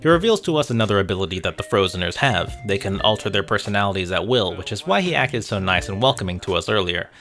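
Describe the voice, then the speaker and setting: A man's voice: fairly low pitch, sing-song, fast, few pauses, fairly bright tone. A man narrating a scripted video essay about games in a wry, conversational way, with asides to the viewer. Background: music.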